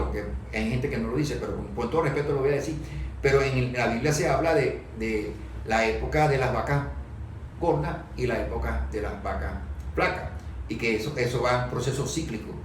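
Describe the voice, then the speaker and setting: A man giving explanatory historical and political commentary, haltingly repeating words as he searches for phrasing. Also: medium pitch. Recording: echoey room, distant microphone, background noise.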